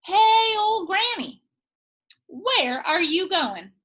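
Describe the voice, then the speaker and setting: A woman voicing a talking prickly pear cactus from a storybook, calling out in a slow, coaxing way. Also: very high voice, big pitch swings, bright, forceful tone, pitched above the performer's own voice, exaggerated voice.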